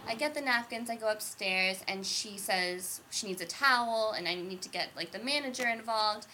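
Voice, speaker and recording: A woman guest on a podcast, telling a story in a casual, animated way and laughing often. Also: mid-range voice, normal speed, slight background noise, rough recording. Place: indoors.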